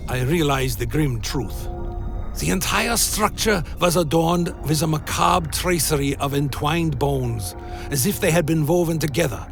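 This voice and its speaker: A man playing a brooding vampire who tells his tale in a grave, deliberate, dramatic way, as scripted gothic radio play narration. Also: on the high side, creaky. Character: Alaric the Damned, brooding male vampire telling his tale. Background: music.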